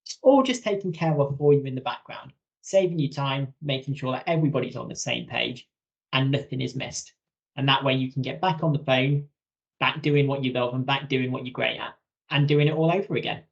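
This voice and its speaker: A man with a gravelly, fry-edged voice presents software tips as a trainer. He explains things in a conversational webinar style. The audio is slightly dull and lo-fi. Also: mid-range voice, brisk.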